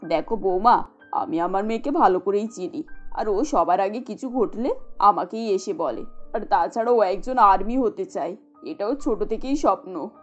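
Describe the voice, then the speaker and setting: A woman playing a gentle widowed mother who stands up for her daughter in a cartoon. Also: medium pitch, animated, moderate pace, highs cut off, soft background music, natural voice. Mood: earnest, defensive. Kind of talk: Bengali cartoon dubbing.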